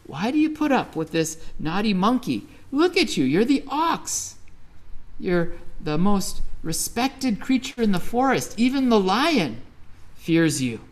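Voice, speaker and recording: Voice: big pitch swings, a little slow. A storyteller voicing a meddling magical forest sprite in a children's story, incredulous and exclaiming. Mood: indignant. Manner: high, exclaiming, incredulous questioning.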